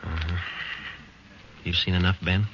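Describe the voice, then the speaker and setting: An actor playing a calm, matter-of-fact police sergeant who narrates a 1940s radio drama in a flat, clipped delivery, on a dull old broadcast recording. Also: male voice, low-pitched, a little slow, noisy background.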